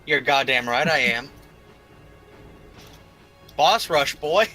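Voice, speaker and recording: A man's voice: mid-range voice, animated, drawn-out words, bright, forceful tone, soft background music. A man, chatting casually as a player in a tabletop roleplaying game. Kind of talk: casual gaming chat.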